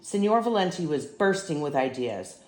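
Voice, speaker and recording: An older woman reading a children's storybook aloud as a grandmother would, in an expressive, emphatic way. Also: sits low, moderate pace, reverberant, poor audio.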